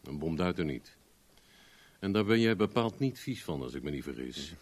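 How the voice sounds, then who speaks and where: A man's voice: extremely low pitch, lively intonation, moderate pace, slightly rough, exaggerated voice. A man acting a blunt, needling gym owner and fixer in a Dutch radio drama, mocking and persuasive. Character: blunt, needling male gym owner and car dealer friend.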